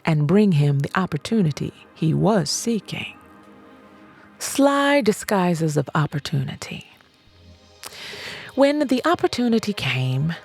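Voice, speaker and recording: A woman reading an audiobook as scripted narration, in a measured, deliberate way with emphatic phrasing. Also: lowish voice, gravelly, darkish tone. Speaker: audiobook reader.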